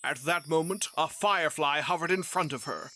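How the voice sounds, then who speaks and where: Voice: medium pitch, normal speed, pushed and bright. A narrator reading a scripted fan fiction story aloud. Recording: music behind the voice.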